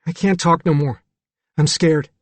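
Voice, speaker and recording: A man voicing a fragile, frightened young boy with an anxious, halting, childlike manner in an audiobook story. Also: on the high side, fairly even intonation, no echo, pitched above the performer's own voice. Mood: scared.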